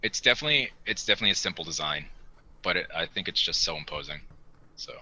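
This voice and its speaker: A man's voice: sits low, animated, fast talker, sharp tone. A man co-hosting a hobby podcast, chatting casually and enthusiastically in an informal way. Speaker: podcast co-host. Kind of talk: casual hobby chat about model kits.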